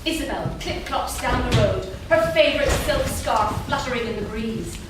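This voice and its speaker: A woman's voice: fairly high pitch, expressive, normal speed. A woman reading scripted narration and stage directions at a live staged reading. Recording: distant, very echoey theatre with noise.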